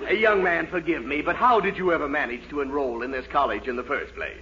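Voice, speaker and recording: An actor playing a sarcastic, exasperated college professor in a fussy, theatrical 1940s radio comedy style. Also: male voice, a little high, quick pace, lightly acted. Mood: brisk, exasperated. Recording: dull, noisy 1940s radio recording, audience.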